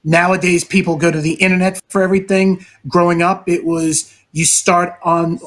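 A man who works as a sports broadcaster, answering interview questions at length in an earnest, talkative way with some filler pauses. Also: sits high, flat delivery, fairly bright tone. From New York City, Brooklyn.

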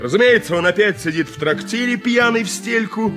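An actor playing a boastful, boisterous armourer in a radio play, exclamatory and chuckling. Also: male voice, high-pitched, lively intonation, brisk, over music. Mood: scheming, grumbling. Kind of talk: 1980s radio play acting.

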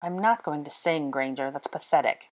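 A woman's voice: deep voice, normal speed, darkish tone, poor audio. A woman voicing a scornful, irritable teenage boy in an audiobook story, curt and scoffing. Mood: scoffing, incredulous. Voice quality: rough.

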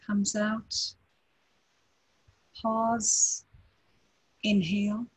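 A woman teaching a guided breathing meditation in a slow, calm, instructive way. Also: mid-range voice, breathy edge, rough recording.

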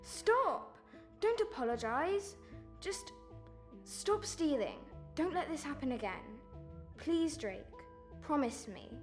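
A woman acts a young sister in an amateur audio drama. Her voice is high and swings with feeling as she reproaches and pleads, hurt and earnest. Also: very animated, takes it slowly, soft background music, heavily acted. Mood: hurt, reproachful.

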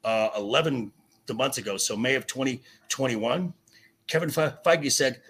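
Raspy, forceful man arguing his point. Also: medium pitch. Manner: insistent, halting, repeats himself. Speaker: podcast guest. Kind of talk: heated opinionated film and TV discussion.

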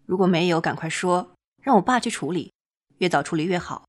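A woman's voice: lowish voice, animated, brisk. A woman playing a detached, pragmatic senior woman doctor who gives matter-of-fact advice in an amateur radio drama. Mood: brisk, pragmatic.

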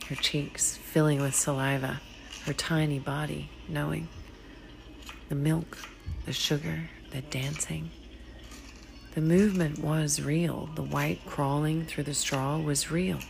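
A woman reading a literary short story in a hushed, deliberate, dramatic way, often sinking to a whisper. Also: sits low, flat delivery, gravelly, slight background noise, poor audio. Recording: music behind the voice. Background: music.

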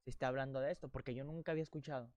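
A young woman talks casually and keeps pressing with doubtful questions. Her voice sits low for a woman, and the recording is dry and close. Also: fairly even intonation, racing, darkish tone, no room sound, muffled recording. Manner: questioning, skeptical. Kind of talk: casual chat.

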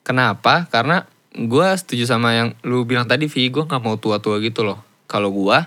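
A man's voice: medium pitch, normal speed, sharp tone, dry recording. A young man, hosting a casual podcast chat, slangy and swearing freely as he asks questions. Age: young adult.

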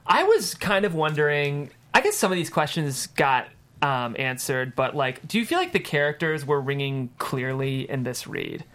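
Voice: mid-range voice, big pitch swings, moderate pace, fairly bright tone. Chatty screenwriter in casual discussion. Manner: chatty, hedging with frequent "like". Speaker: guest screenwriter.

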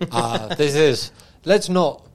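A man co-hosting a casual podcast, making dry, deadpan jokes while a little under the weather. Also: a little high, takes it slowly. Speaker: podcast co-host.

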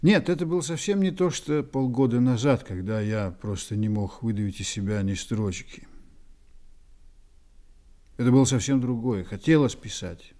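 A man's voice: medium pitch, lively intonation, normal speed, dark tone, close to an everyday voice. An actor playing a pensive male writer who narrates a radio play with wistful deliberation. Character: reflective male journalist-writer, wistfully remembering his friend.

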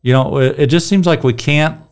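A man's voice: a little high, fairly quick, clean tone. A middle-aged man with a strong voice leading a casual Bible discussion, rambling and restarting his sentences. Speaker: Bible study leader.

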